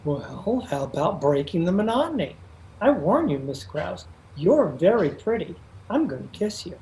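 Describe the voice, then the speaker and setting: Wisecracking radio-drama rogue , played by a woman, lively intonation, wry storyteller, roomy sound. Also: fairly low pitch, normal speed, slight background noise. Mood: flirtatious. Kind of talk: old-time radio drama acting and first-person narration. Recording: roomy video-call sound.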